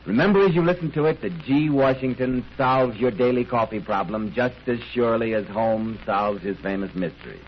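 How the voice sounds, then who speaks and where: A man's voice: medium pitch, moderate pace, few pauses. A man working as a radio announcer and host, speaking scripted lines in a 1930s broadcast style. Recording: muffled, noisy 1930s radio recording.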